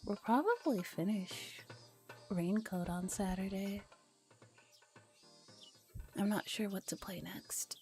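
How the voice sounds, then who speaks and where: A soft-spoken woman streaming a relaxed ASMR read-aloud of a visual novel with casual asides, often dropping to a whisper. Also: medium pitch, takes it slowly, long pauses. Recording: music behind the voice. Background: background music.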